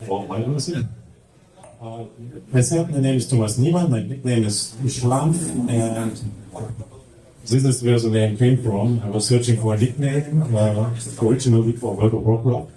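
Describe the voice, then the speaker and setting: A middle-aged man with a German accent, presenting slides at a conference in halting English with many fillers. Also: medium pitch, mellow. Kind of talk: presenting slides at a tech talk. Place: large conference hall with projector. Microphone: handheld mic. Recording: echoey hall, mic at distance.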